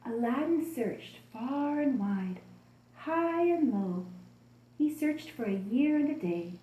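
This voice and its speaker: Female children's storyteller, soft warm tone, expressive deliberate reading, echoey room sound. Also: high voice, a little hiss or hum. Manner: expressive, deliberate storytelling addressed to young listeners.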